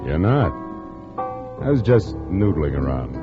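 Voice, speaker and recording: A suave charmer talks in a very deep, laconic, flirtatious way. Piano music is loud around him in this dull-sounding 1940s radio drama. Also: male voice, sing-song, measured pace. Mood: coolly flirtatious.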